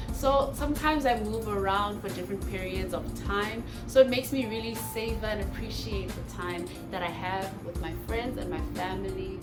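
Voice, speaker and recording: A young woman from South Africa with a South African accent, telling stories in a casual vlog and acting out both sides of her conversations. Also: medium pitch. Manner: animated storyteller, acts out both sides of conversations with laughs. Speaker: vlogger, international student. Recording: echoey room with music behind.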